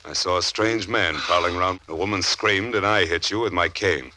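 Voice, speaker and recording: A man's voice: sits low, moderate pace, sharp tone. An actor playing a stern, solemn man with clipped delivery in 1940s radio drama style. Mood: matter-of-fact. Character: stern, solemn male passer-by giving his account.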